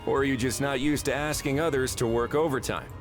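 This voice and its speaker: A man's voice: mid-range voice, steady tone, fairly quick, natural voice. A man voicing a shrewd, composed official with dry, wry authority in a video game. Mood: wry, assured. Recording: faint music behind the voice.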